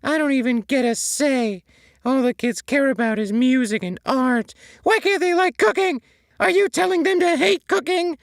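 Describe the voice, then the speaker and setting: Shrill hysterical sponge guy, super squeaky and wailing, storybook fanfic reading. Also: moderate pace, gravelly, no echo, clean studio sound. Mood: accusing. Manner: wailing, near-hysterical outbursts. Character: frantic high-pitched male sea sponge, whiny and upset.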